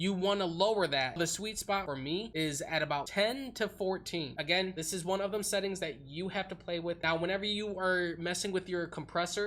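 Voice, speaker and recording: Casual man explaining software settings. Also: a little high. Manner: plain, explanatory, drawls some words. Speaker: streaming tutorial host. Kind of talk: casual screen-recorded tutorial. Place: home streaming setup. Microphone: desktop USB condenser mic.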